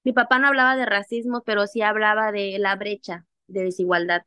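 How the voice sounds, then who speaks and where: A young adult woman from Mexico's Costa Chica, with a Costa Chica accent, telling her own story in an interview in an animated way. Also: mid-range voice, brisk, clear, resonant tone, no room sound. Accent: Costa Chica Mexican Spanish. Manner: animated, acts out remembered dialogue. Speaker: interview guest, activist. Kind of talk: personal storytelling in conversation.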